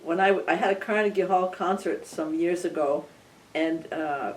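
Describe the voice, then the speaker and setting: An older woman, a composer whose first language was Armenian, talking thoughtfully in an interview with frequent restarts and soft laughs. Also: fairly low pitch, moderate pace, a little hiss or hum. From Belmont area, United States. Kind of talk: casual reflective interview. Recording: recorded from some distance.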